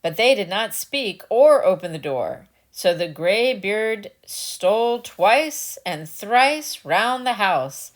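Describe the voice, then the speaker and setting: A woman narrates in a dramatic storytelling style, reading from a script. Her pace is slow and measured, and her medium-pitched voice rises and falls with plenty of expression.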